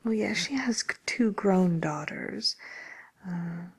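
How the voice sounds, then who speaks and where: A woman playing a polite, tactful matchmaker for villains in an ASMR roleplay, with a soft, whispery voice and hesitant, courteous service patter. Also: fairly low pitch, slow, slightly rough, heavily acted. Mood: tactful, confiding.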